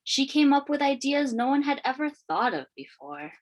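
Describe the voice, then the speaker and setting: A woman reading a children's picture book aloud in an expressive, deliberate storytelling manner. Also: sits high, a little hiss or hum. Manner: expressive, questioning lifts and deliberate phrasing. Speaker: read-aloud storyteller, teacher.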